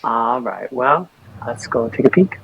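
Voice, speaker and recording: Male game player, mid-range and slightly rough voice, casual conversational role-play. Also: medium pitch, lively intonation, a little slow, fairly bright tone, no room sound, rough recording.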